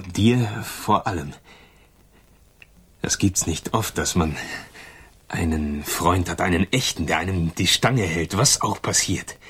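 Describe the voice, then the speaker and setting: An actor playing an uneasy, grateful escaped convict in a German radio crime drama, speaking huskily and hesitantly as if confiding. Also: male voice, low voice, breathy edge, full character voice. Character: grateful, uneasy male jailbreaker, emotionally raw crook.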